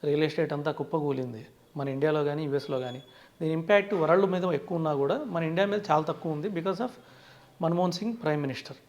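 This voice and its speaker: Higher-pitched Telugu man explaining in an interview. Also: fast talker, a little mellow, muffled recording. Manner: frequently opens sentences with "so". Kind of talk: explanatory interview talk mixing Telugu with English terms.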